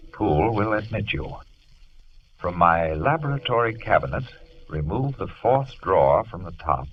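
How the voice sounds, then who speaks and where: Radio drama doctor, low and solemn, unhurried, on a noisy vintage recording. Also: male voice, lo-fi sound, heavily acted. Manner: solemn, deliberate. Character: grave gentleman doctor reading an urgent letter. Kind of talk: old radio drama acting.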